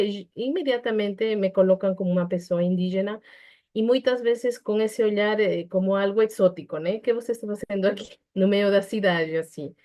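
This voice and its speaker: Mellow Peruvian woman speaking reflectively. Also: mid-range voice. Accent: Peruvian Spanish. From Peru. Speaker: psychologist and panel speaker. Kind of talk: personal testimony in an online panel discussion.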